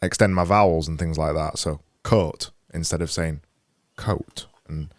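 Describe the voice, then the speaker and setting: A man in his thirties with a Yorkshire accent, hosting an interview and speaking softly with frequent stumbles and repeated words. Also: extremely low pitch, fairly even intonation, no echo, studio quality. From England. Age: 30s. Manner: halting, repeats words, soft-spoken. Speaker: podcast host. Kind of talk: casual video-call interview. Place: home studio room. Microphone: studio mic on boom arm with headphones.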